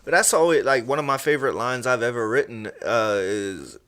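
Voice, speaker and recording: A man's voice: mid-range voice, animated, brisk, a bit bright. A man and musician in a casual podcast conversation, talking in a laid-back way and often checking in with his listener. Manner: laid-back, frequently checking in with "you know what I'm saying".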